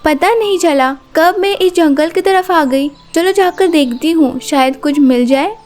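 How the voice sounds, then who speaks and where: A woman voicing a curious, polite and innocent young girl in an animated moral story. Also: sits high, unhurried. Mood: surprised, curious.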